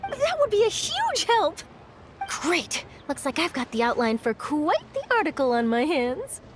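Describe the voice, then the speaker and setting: An eager young journalist. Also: female voice, high voice, huge pitch swings, a little slow, noisy background, exaggerated voice. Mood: curious. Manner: eager, inquisitive. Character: eager young female journalist, curious and upbeat.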